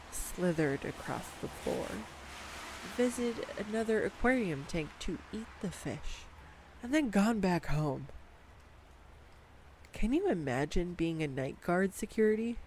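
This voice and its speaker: A voice actress performing a scripted girlfriend roleplay, bubbly and playful as she gushes excitedly over facts at a home mic. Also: fairly low pitch, slight background noise. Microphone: studio condenser mic.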